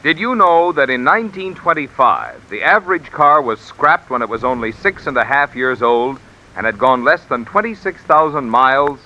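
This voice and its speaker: A man's voice: mid-range voice, big pitch swings, moderate pace, sharp tone. A man reading a vintage radio commercial in an emphatic, salesy announcer style. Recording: lo-fi old radio broadcast.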